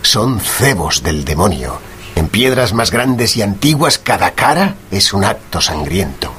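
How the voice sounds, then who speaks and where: An actor playing a brilliant, eccentric gentleman detective in a radio drama, speaking with courteous, wry, formal precision. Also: fairly low pitch, very animated, unhurried, a little hiss or hum. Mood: musing. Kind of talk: radio drama acting, Spanish dub style.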